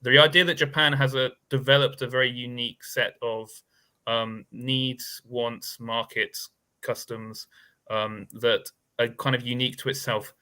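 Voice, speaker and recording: A man's voice: medium pitch, fairly even intonation, a little slow, bright, forceful tone, smooth. A man speaking as a guest expert on a discussion panel, thoughtful and hedging, with many fillers and restarts.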